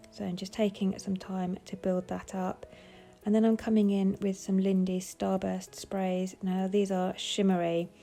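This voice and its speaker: A woman with a cold, sounding stuffed up, talks through her craft tutorial step by step. Her voice is gravelly and mellow and stays fairly flat in pitch. Soft music plays faintly underneath. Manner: calmly explains each step as she works. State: has a cold, sounds bunged up. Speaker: papercraft designer. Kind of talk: casual narrated craft tutorial.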